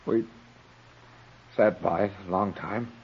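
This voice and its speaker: An actor in a 1940s radio drama playing a weak, overwrought man, halting and stammering with anguish and fear. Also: low-pitched, fairly even intonation, creaky, slight background noise, full character voice. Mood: shaken, haunted. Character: weak, frightened, overwrought ne'er-do-well younger man. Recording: muffled vintage 1940s radio recording.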